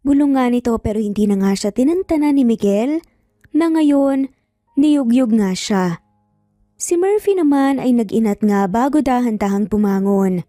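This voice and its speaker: A woman's voice: fairly high pitch, moderate pace, dark tone, studio quality. A woman narrating a scripted dramatic story as a storyteller. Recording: roomy echo, faint background music. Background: faint music.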